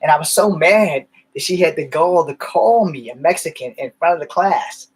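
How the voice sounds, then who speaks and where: A man's voice: on the high side, lively intonation, normal speed, slightly rough. A man rambling through candid stories in a casual monologue, swearing now and then. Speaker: vlogger.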